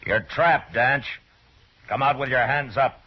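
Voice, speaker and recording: A man's voice: mid-range voice, steady tone, unhurried, slight background noise, lightly acted. An actor playing a stern, hard-bitten US marshal, terse and grim, in an old radio western that he also narrates. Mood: stern, commanding. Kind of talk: old-time radio western acting and first-person narration. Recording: muffled vintage radio recording.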